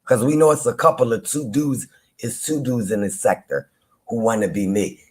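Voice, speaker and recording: A man with a deep voice hosts a live chat. He talks casually and teases people in loose, playful banter. He laughs often as he goes, and his pitch sits in an ordinary middle range. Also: normal speed. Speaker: live audio-room host. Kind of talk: casual trash-talking chat to listeners.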